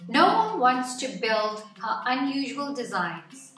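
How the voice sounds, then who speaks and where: A woman reading a nonfiction picture book aloud to children in an expressive, deliberate storytelling way, phrase by phrase. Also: high-pitched. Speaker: children's story-time reader. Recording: echoey room, distant mic, music underneath.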